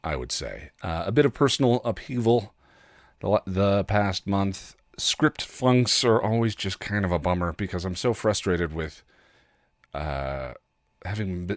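A man with a cold talking casually and haltingly, with self-deprecating asides, as a solo podcast host. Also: deep voice, no echo, studio quality. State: congested with a cold.

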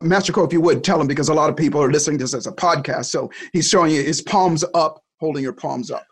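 Husky-voiced man hosting a podcast. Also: a little high, quick pace. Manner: encouraging, laughs along with the guest. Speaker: podcast host. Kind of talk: casual podcast conversation.

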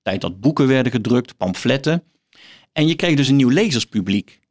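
Middle-aged man, medium pitch, professor in an interview, explanatory, thoughtful, halting, emphatic. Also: lively intonation, darkish tone. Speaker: guest, professor of philosophy. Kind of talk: explanatory interview talk with some reading aloud.

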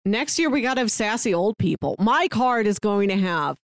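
A woman's voice: medium pitch, big pitch swings, moderate pace, no room sound. American woman chatting in a dry-sounding room. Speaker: podcast co-host. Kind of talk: casual movie review chat.

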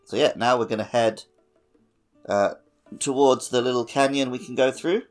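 A man's voice: medium pitch, normal speed. A man co-hosting a casual podcast conversation about a video game. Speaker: podcast co-host. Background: background music.

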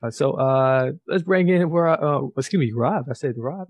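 Halting male podcast host, dry close sound. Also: mid-range voice, no room sound, lo-fi sound. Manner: halting, repeats words and stumbles often. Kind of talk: conversational interview with informal guest introduction.

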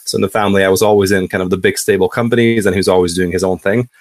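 A man in his thirties from Hungary, a podcast guest telling his story casually and haltingly, often restarting sentences. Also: low voice, steady tone, no room sound.

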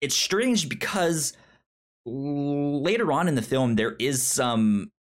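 A man hosting a podcast in a casual film review chat, with a halting delivery that often repeats and trips over words. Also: on the high side, animated. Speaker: podcast host.

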